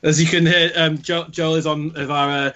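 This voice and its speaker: A man's voice: a little high, level tone, a little fast, fairly bright tone. An English man from Yorkshire hosts a sports chat show with a slightly raised voice and a jokey, self-deprecating way of talking. He fills his sentences with ums and asides. The audio is muffled and lo-fi. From Yorkshire, England. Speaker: podcast host. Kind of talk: casual podcast chat. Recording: dull lo-fi sound.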